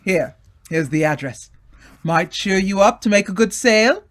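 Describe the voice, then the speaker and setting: Man acting bluff, hearty upper-class gentleman. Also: high voice, lively intonation, normal speed. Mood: bluff, bossy. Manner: bluff, exclamatory, patronizing. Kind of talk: old-time radio drama acting.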